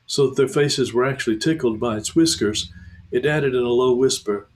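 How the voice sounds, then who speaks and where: A man, a pastor, reading a children's novel aloud in a calm, measured way. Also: mid-range voice, slight background noise, poor audio. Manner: calm, measured, slows for tension. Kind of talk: storybook read-aloud.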